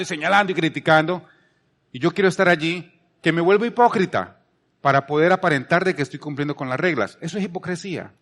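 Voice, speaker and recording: Higher-pitched Colombian man preaching conversationally. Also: a bit bright. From Palmira, Colombia. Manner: emphatic repetition, rhetorical questions. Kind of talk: conversational sermon.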